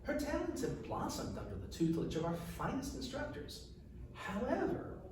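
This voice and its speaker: A man's voice: very high voice, very animated, normal speed, pitched above the performer's own voice. A man voicing a composed, diplomatic woman who heads a collegium in a storybook reading, courteous and firm. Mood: tactful. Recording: echoey room, distant mic, faint noise.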